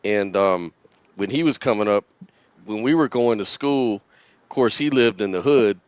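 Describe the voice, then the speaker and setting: A man telling a rambling story in a relaxed, casual way on a podcast. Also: on the low side, normal speed, clear, resonant tone, no echo.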